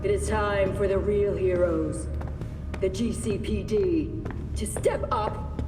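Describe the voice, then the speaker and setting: A woman's voice: mid-range voice, fairly even intonation, slow, lightly acted. A woman playing a stern, authoritative police commissioner, giving an emphatic public speech in a video game. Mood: stern, rallying. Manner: oratorical, emphatic. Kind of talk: public address in game cutscene. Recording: echoey, distant public address, music underneath.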